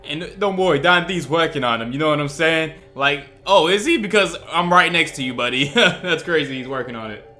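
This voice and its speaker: A man hosts a reaction video with a bright, punchy voice at a middling pitch. He speaks casually and slangily, throwing in animated interjections, with faint music behind him. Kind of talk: casual excited reaction commentary.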